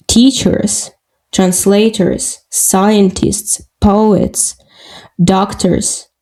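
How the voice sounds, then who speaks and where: Slow, gravelly woman with Russian accent. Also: medium pitch, warm tone. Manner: halting, searching for English words, many fillers. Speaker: podcast guest. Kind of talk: casual interview talk.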